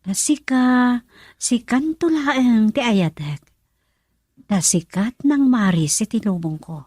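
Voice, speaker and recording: A woman playing a playful, tender young country woman in love in an Ilocano radio drama, expressive and teasing with an Ilocano accent. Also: fairly high pitch, takes it slowly, no room sound, clean studio sound.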